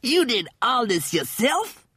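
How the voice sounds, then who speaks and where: Excitable, very high-pitched cat-man. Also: slow, breathy edge, dry recording, studio quality, heavily acted. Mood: amazed. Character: vain, excitable, squeamish male humanoid cat. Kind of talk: audiobook character acting.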